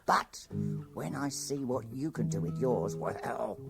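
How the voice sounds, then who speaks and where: Low, animated comic safe-cracker over guitar music. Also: female voice, slow, creaky, exaggerated voice. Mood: gushing. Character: coy, boastful safe-cracking prisoner nicknamed Fingers. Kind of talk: comic radio play acting.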